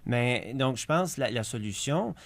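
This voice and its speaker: A man's voice: mid-range voice, animated, measured pace, dry recording. A man answering interview questions as head of an association, hesitant and often repeating words as he searches for them. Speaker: president of a regional association.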